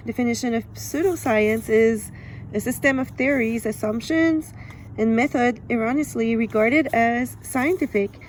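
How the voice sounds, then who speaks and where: Woman, French accent, higher voice, measured reading, laughs between passages. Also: slight background noise, rough recording. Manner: laughs often, measured and deliberate. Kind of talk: reading from a book with commentary.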